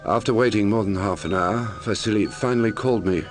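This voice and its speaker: A man acting as an investigator who narrates his grim logbook in a measured, brooding way for a mystery game, in a gravelly voice. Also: close to an everyday voice. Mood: grave, recounting. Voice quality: very deep, gravelly. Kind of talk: scripted first-person narration for a mystery game. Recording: music under the voice. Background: music.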